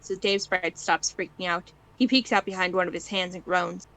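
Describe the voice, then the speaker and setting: A woman's voice: lowish voice, steady tone, moderate pace, a bit bright, poor audio. A woman narrating fan fiction informally and chatting over an online voice call. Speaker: narrator, stream reader.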